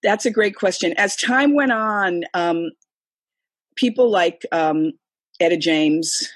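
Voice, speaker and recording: Older woman, husky voice, dry close sound, chatty rambling interview guest. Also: fairly low pitch, takes it slowly, no room sound. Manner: chatty, rambling storyteller with many fillers. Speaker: singer and guest. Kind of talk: casual interview conversation. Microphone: remote call, computer.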